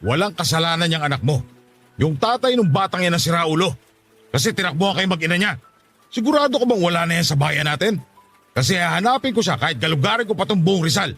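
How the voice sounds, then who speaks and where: A furious middle-aged father in a radio drama. He scolds in a rapid, raised-pitch voice, protective and indignant, over faint music. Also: expressive, vocal fry, a bit bright, full character voice. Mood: indignant, angry. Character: angry, protective father, soon a grandfather. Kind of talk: Tagalog radio drama acting.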